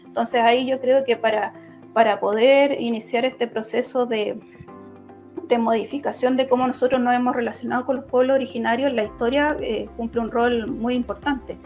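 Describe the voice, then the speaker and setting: A woman with a Chilean accent giving explanatory answers in an online debate, halting and often restarting her phrases. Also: a little high, steady tone, a little mellow. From Los Ríos region, southern Chile. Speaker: political candidate and law lecturer. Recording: dull lo-fi online audio, music behind.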